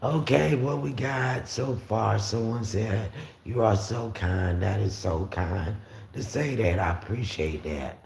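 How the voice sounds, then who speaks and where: A raspy-voiced man from Florida hosting a casual livestream, talking easily and reading out viewer comments. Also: on the low side, takes it slowly, darkish tone. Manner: rambling, easygoing, frequent fillers and restarts. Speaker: livestream host. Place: room at home with the windows open. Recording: roomy echo with faint street noise.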